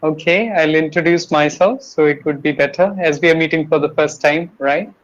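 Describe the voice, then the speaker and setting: Polite young Indian man, higher voice. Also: moderate pace, highs cut off. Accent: Indian English. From Kanpur, Uttar Pradesh, India. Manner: polite, slightly formal phrasing. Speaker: YouTuber and English learner. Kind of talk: casual online conversation.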